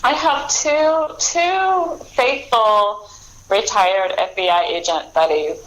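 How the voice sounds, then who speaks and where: A woman's voice: mid-range voice, takes it slowly, fairly bright tone, slight background noise, rough recording. An American woman talking casually as an author in an interview, in a chatty, rambling way.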